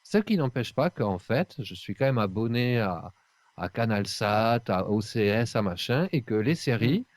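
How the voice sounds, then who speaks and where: Low-voiced man in casual, emphatic chat. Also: lively intonation, a little slow, no echo.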